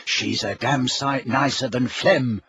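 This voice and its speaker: A man's voice: medium pitch, steady tone, takes it slowly, sharp tone. A man voicing a sly, outspoken teenage girl with a cutting, mocking manner in an audiobook story. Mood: incredulous, blunt.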